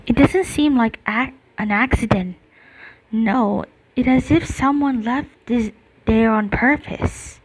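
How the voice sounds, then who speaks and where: A woman game streamer reading dialogue aloud during gameplay, halting and correcting herself as she goes. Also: fairly high pitch, husky, rough recording.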